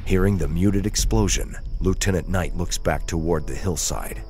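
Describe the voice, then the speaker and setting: A man's voice: sits low, normal speed, background noise. A man reading dramatic scripted narration as the narrator of an audio drama. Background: music and sound effects.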